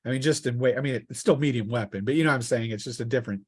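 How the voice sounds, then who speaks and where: A man narrating a tabletop game as game master in a casual, halting, improvised way. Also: mid-range voice, husky.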